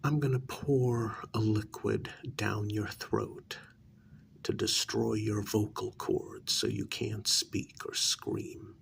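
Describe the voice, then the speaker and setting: A man playing a cold, vengeful vampire lord in an ASMR role-play, speaking in a breathy half-whisper with slow, quietly threatening deliberation. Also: on the low side, flat delivery, slight background noise, exaggerated voice. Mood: sadistic menace.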